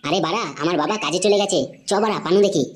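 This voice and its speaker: Woman playing fast-talking foul-mouthed young cartoon guy. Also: lowish voice, lightly acted. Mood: excited. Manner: foul-mouthed, slangy banter. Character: crude, excitable young male slacker, easily panicked. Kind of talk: comedy cartoon dialogue.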